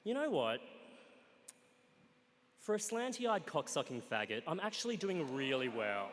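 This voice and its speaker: A man with an Australian accent telling a comic personal story on stage, wry and playful, with deadpan punchlines. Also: fairly high pitch, measured pace, background noise. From Australia, Sunshine Coast, Queensland. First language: Cantonese. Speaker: guest storyteller. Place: stage in a live event hall with an audience. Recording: reverberant live hall.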